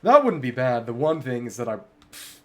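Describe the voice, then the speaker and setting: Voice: medium pitch, sing-song, normal speed, a little mellow. A game streamer chatting casually over gameplay, thinking aloud in a wry way. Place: indoors at a computer.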